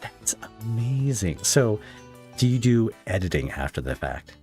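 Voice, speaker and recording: A man's voice: lowish voice, big pitch swings, unhurried, a little mellow, soft background music. A man hosting a casual interview, speaking informally with somewhat hesitant phrasing. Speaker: podcast host, interviewer.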